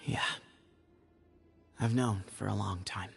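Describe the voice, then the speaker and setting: Video game role: reserved desert heir, lowish, creaky, resigned. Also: male voice, fairly even intonation, slow, soft background music, full character voice. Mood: resigned, resolute.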